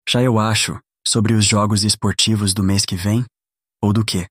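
A cocky, offhand Brazilian school athlete, acted by a man, with a lowish voice in a dry, close recording. He is the popular boy of an audio drama. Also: fairly even intonation, moderate pace, dry recording, lightly acted. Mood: cocky, casual.

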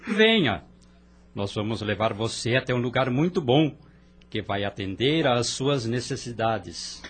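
A Brazilian actor playing a kindly, persuasive male spirit in a radio drama, husky and reassuring. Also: medium pitch, lively intonation, a little slow, soft background music. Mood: soothing. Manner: coaxing, reassuring.

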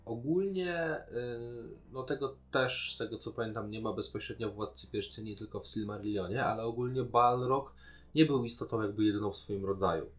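A man's voice: fairly low pitch, normal speed. A man, co-hosting a podcast in a casual discussion of a TV series. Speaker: podcast co-host.

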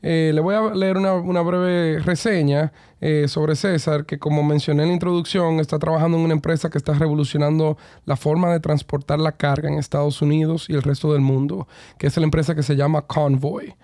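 An enthusiastic man hosts a podcast from a booth, his voice pitched a little high for a man yet soft and mellow in tone. The sound is dry and close, with no room around it. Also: little pitch movement, a little fast, hardly any pauses, no echo. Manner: upbeat and enthusiastic, with frequent hesitation sounds. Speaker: podcast host. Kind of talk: podcast interview, reading a guest introduction. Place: recording booth.

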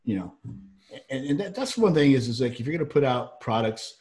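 A man from Georgia with a faint Southern accent, co-hosting a casual chat in a rambling, animated way with many restarts. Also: mid-range voice, fairly quick.

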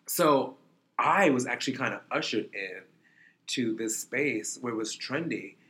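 A man's voice: mid-range voice, lively intonation, unhurried, pushed and bright, a little hiss or hum. A man in his thirties from New York, chatting easily as an interview guest and filling his talk with "like" and "you know". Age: 30s. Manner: chatty, laid-back, peppered with "like" and "you know". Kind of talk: casual chat.

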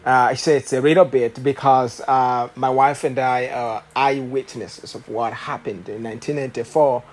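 Rwandan-accented man preaching with halting pauses. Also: mid-range voice, fairly even intonation, a little hiss or hum. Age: late 30s. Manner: halting, many fillers and restarts. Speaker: guest preacher. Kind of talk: sermon testimony.

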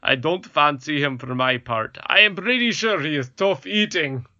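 A man's voice: a little high, expressive, moderate pace, sharp tone, no echo, muffled recording, exaggerated voice. Man doing a blustering goblin king. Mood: pompous. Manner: blustering, self-satisfied. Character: pompous goblin king, cruel and smug.

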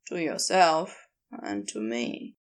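Woman doing a low, creaky, laconic tender man. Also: animated, slow pace, heavily acted. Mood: gently firm. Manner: terse, soft-spoken, casual clipped phrasing. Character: laconic, gruff but tender male supernatural being.

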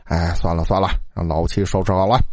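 A man voicing a laid-back young male student, offhand and unhurried, in a dramatized story. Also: low-pitched, little pitch movement, no room sound, clean studio sound, pitched below the performer's own voice. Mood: nonchalant.

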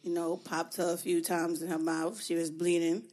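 Hoarse young woman hosting a podcast. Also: lowish voice, little pitch movement, moderate pace, a little mellow. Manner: laughs while telling stories. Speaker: podcast host. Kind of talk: casual chat.

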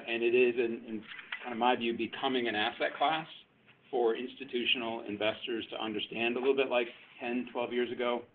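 A man's voice: lowish voice, a little hiss or hum. A male company executive explaining things in a businesslike way on an earnings call, over a phone line.

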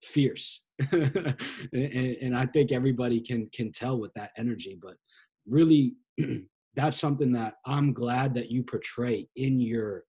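A man with a husky voice hosts a casual podcast interview in a laid-back, easygoing way. He talks loosely and slips "dude" into his questions. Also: mid-range voice, a little slow, mellow. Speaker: podcast host.

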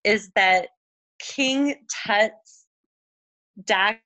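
A woman in her forties from Oklahoma, chatting casually as a guest over a video call, full of "like" fillers and quick laughs. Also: mid-range voice, sharp tone, rough recording. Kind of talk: casual chat.

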